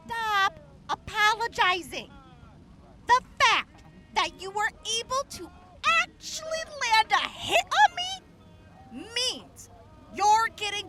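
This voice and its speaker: A woman plays a tough, boisterous female knife fighter in an audio drama. Her voice is extremely high and lively, with a sharp, forceful edge. She is blunt and brash, teaching by teasing. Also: slight background noise, heavily acted. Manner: cackling, blunt, swearing.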